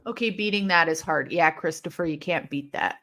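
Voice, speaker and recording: A woman's voice: low voice, fairly quick. Woman streamer from illinois, rambly live chat, says like a lot, hissy s's. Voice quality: intense, sharp S sounds from a mostly corrected lisp. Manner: chatty and rambling, heavy use of "like". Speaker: host of a live wrestling watch-along. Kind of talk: casual live-stream chat reading comments.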